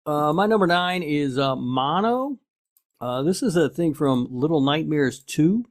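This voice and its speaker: A man's voice: a little high, lively intonation, unhurried. A man co-hosting a podcast, talking casually in a blunt, laid-back, foul-mouthed way. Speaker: podcast host. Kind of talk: casual chat.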